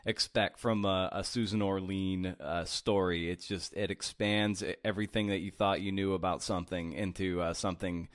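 A man's voice: sits low, fairly even intonation, moderate pace, few pauses, no room sound, clean studio sound. A male podcast host, worn out after a night shift, talking in a loose, jokey way with many restarts. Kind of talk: casual podcast interview and outro.

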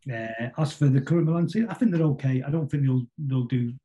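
A middle-aged man with a Manchester accent, chatting casually as an interview guest in a rambling, halting way full of tag questions. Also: medium pitch, mellow. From Manchester, England. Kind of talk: casual conversational football chat.

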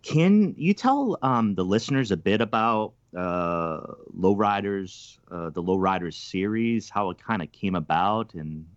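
A man hosting a podcast interview, talking in a halting way with many ums and restarts. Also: fairly low pitch, lively intonation, dry recording, lo-fi sound. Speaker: podcast host.

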